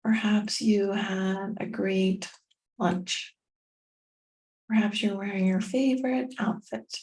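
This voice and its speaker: A woman guides a meditation at a very unhurried pace. Her voice is soft-edged and relaxed, at a middling pitch. She speaks gently in short phrases and leaves long, soothing pauses between them. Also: rough recording. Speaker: meditation guide and tapping coach.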